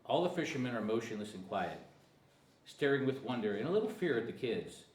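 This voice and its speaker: Lively male narrator in echoey room. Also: mid-range voice, brisk. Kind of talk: reading screenplay directions at a table read. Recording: echoey room, faint noise.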